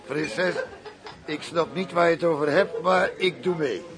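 An actor in a radio drama playing a wry, world-weary undercover agent who poses as a gangster boss. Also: male voice, a little high, measured pace, a crowd in the background, close to an everyday voice. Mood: loud, swaggering.

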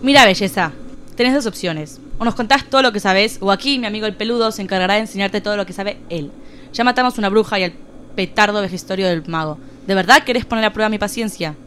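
A woman's voice: mid-range voice, fast talker, a bit bright, a little hiss or hum, close to an everyday voice. A woman playing a bossy, impulsive, foul-mouthed farm girl, sarcastic and brash, in a comic audio drama. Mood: menacing.

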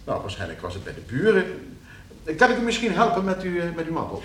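A man's voice: sits high, wildly swooping pitch, quick pace. An actor playing a polite, scheming professor in a radio play, precise in his speech but prone to fluster. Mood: flustered, evasive. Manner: polite, precise when instructing, stammering when questioned. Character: scheming, flustered professor plotting against his uncle for inheritance.

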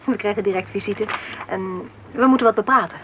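An actress playing a caring, brisk mother who coaxes her son in a Dutch radio play. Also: medium pitch, close to an everyday voice. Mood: brisk, coaxing. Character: caring, worried mother of a schoolboy. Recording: dull, faintly noisy old radio recording.

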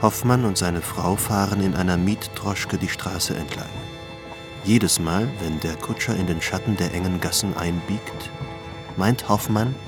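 A man reading scripted literary narration for a radio drama. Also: sits low.

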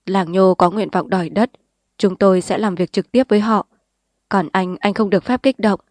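A calm middle-aged village official who speaks in a measured way, as a woman narrator reads him. Her voice stays near her own narrating tone. It is dry and close, like a storybook read aloud. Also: mid-range voice, no echo, natural voice.

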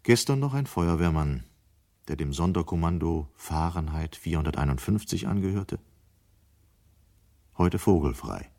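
A man narrating a German radio play in a measured, literary way. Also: sits low, animated, mellow.

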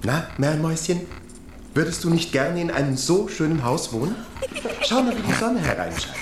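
An affectionate grown-up in a radio drama, speaking gently to a child. The voice is low and very animated, heard with roomy echo over clear background noise. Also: female voice, moderate pace. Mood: coaxing.

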